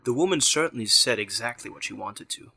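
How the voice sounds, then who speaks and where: A man's voice: medium pitch, lively intonation, fairly quick, gravelly, sharp tone, slightly rough. A man reading scripted narration for a radio drama.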